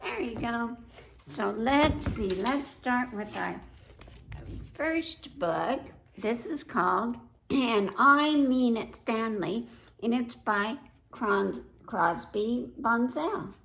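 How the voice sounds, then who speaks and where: A woman leads a story time for children in a slightly high voice with some creak in it. She takes her time, reading aloud and chatting to her young listeners with questions. There is faint noise on the recording. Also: lively intonation, very slow, fairly bright tone, low-quality recording. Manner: addresses children directly with questions.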